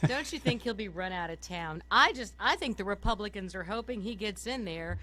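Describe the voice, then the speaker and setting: Hoarse, cynical woman ranting on talk radio. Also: mid-range voice, a little fast. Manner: animated, cynical ranting, laughs often mid-sentence. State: hoarse from a summer cold. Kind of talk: political talk radio chat.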